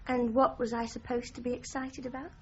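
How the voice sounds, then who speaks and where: A woman's voice: on the high side, flat delivery, a little fast, a little mellow, slightly rough, muffled recording. An actress playing a pert, teasing young woman in a radio drama. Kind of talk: 1980s British radio drama acting.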